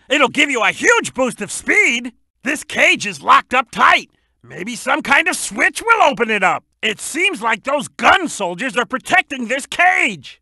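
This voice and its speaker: A man voicing a brash, gruff, excitable crocodile detective, a loud and streetwise video game sidekick giving emphatic hints. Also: extremely high pitch, no echo, clean studio sound, heavily acted. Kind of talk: animated video game voice acting.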